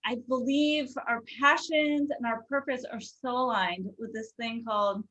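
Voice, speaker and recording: A woman's voice: fairly high pitch, steady tone, normal speed, fairly bright tone. Gushing host woman, dull sound. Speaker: podcast host. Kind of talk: casual podcast chat.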